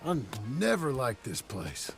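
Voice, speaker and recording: A man playing a weary, sour outlaw trying to go straight in a video game, with a gravelly American Western accent and a terse, dry delivery. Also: mid-range voice, wildly swooping pitch, measured pace, music in the background, heavily acted. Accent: American, rural Western. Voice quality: gravelly, rasping. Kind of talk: video game cinematic acting.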